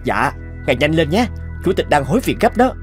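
A man's voice: on the high side, very animated, fairly quick, soft background music. A man voicing an anxious, pleading young male office assistant in a cartoon, with a deferential manner. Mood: urgent, polite. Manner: deferential, imploring. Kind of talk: cartoon voice acting.

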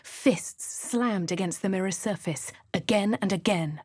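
An actress voicing a dry-witted, composed female adventurer in a video game, with a husky upper-class English accent and a crisp, measured delivery. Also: medium pitch, darkish tone, no echo. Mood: wry. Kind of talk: video game voice lines, in-character narration. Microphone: close studio mic.

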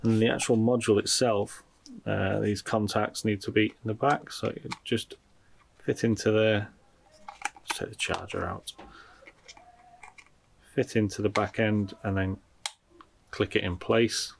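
A man with a low voice and plenty of gravelly creak talks through a product as he handles it. His delivery is informal and unscripted, like someone thinking aloud during a casual unboxing. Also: fairly even intonation, unhurried, long pauses, slight background noise. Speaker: product reviewer.

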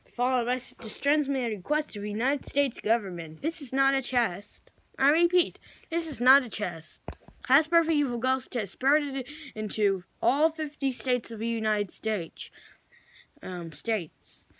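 Slow, halting female amateur announcer. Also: a little high, a bit bright, low-quality recording. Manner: halting, improvised delivery with self-corrections. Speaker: amateur vlogger play-acting emergency alerts. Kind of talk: improvised mock emergency broadcast announcements.

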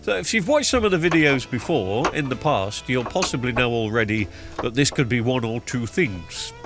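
A man's voice: medium pitch, expressive, normal speed. Middle-aged male vlogger, stuffy cold voice, rambling casual voiceover, music bed underneath. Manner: chatty, rambling with many ums and uhs. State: blocked-up nose from a cold. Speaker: TV repair vlogger. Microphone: studio microphone recorded in post-production. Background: background music.

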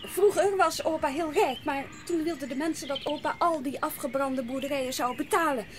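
An actress playing a brusque, impatient aunt in a children's radio play, with a curt, sighing way of talking. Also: female voice, high voice, a little fast, slight background noise, close to an everyday voice. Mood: reluctant. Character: brusque, impatient aunt minding her orphaned niece. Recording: clear outdoor ambience with birdsong.

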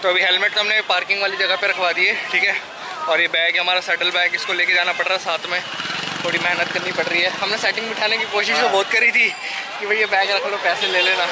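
A young man chatting casually to viewers as a travel vlogger, often checking in with them, recorded outdoors on a handheld camera. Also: high voice. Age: young adult. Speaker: travel motovlogger. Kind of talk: casual vlog chat. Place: outdoors at roadsides, underpass and street market. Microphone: camera held at arm's length. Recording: noisy outdoor street with traffic.

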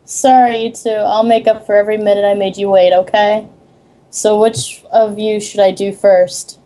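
A woman's voice: medium pitch, little pitch movement, normal speed, warm tone, low-quality recording. A woman reading a script aloud and chatting casually in a group of friends. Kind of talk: casual chat and read-aloud among friends.

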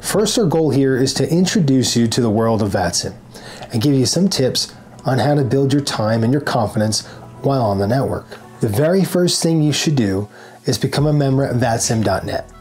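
Guy in his 40s doing a scripted how-to, medium pitch, music going behind him. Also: lively intonation, normal speed, darkish tone. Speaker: tutorial presenter. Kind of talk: scripted instructional narration to camera. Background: background music.

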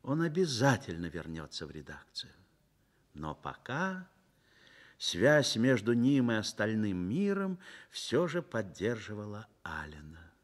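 A man reading scripted literary narration for a Soviet radio drama in an expressive, measured storytelling manner. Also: medium pitch.

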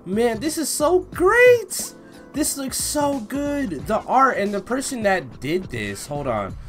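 Expressive young man, casual reaction host. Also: on the high side. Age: young adult. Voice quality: slangy, informal. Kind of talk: casual reaction commentary and read-along. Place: small indoor room at home.

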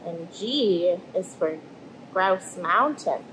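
A woman, reading a picture book aloud to children in an animated storytelling manner. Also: fairly low pitch, drawn-out words, a little hiss or hum, low-quality recording. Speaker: storybook reader and host. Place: indoors in front of an aquarium tank.

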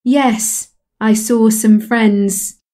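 A woman calmly repeating English model sentences in a slow, measured way for a lesson. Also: on the high side. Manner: calm, measured repetition of phrases. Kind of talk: slow scripted language drill.

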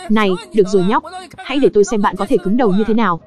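A woman voicing a brisk, bossy farmer in an animated cartoon, matter-of-fact in manner. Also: mid-range voice, soft background music, close to an everyday voice. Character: brisk, bossy female farmer handling talking crops. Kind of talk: animated cartoon dialogue dub.

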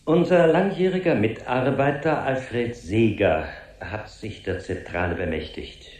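Radio drama: solemn male dignitary actor, ponderous official tone, unhurried, reverberant sound. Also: medium pitch, darkish tone, lightly acted. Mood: grave, official. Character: solemn male Secretary-General reporting gravely on a staff file. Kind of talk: formal briefing in 1970s radio drama.

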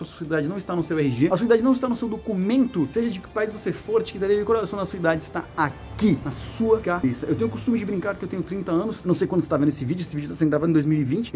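A man's voice: high-pitched, darkish tone. A man in his thirties with a Brazilian Portuguese accent, giving relationship advice straight to the camera on an outdoor patio, emphatic and repetitive, gesturing as he talks. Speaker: relationship coach. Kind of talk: direct-to-camera advice vlog. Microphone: camera at close range.

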